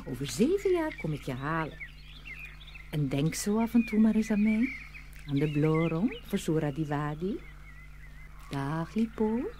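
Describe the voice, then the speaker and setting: Slow, swooping sinister snake witch. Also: low-pitched, big pitch swings, warm tone, a little hiss or hum. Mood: silky, mocking. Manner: slow, drawn-out, taunting delivery. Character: sinister snake woman witch, sly, mocking, menacing. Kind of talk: dramatised audio fairy tale.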